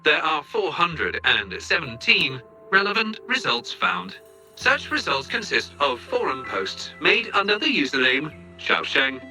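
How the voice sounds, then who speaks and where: An earnest game robot with a very bright, sharp voice. It speaks in formal, literal announcements, pitched a little high and moving freely up and down, with music underneath. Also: male voice, big pitch swings, measured pace, full character voice, low-quality recording. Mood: formal, procedural. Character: earnest, literal-minded helper robot with formal machine speech. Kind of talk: video game voice acting.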